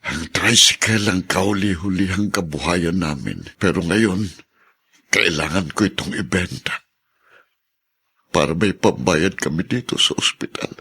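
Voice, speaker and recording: A man's voice: low voice, a little slow, pushed and bright, full character voice. A man voicing a poor, humble older husband who stammers and grieves over his sick wife, in an audio drama. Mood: sorrowful. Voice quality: husky, stammering. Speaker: voice actor.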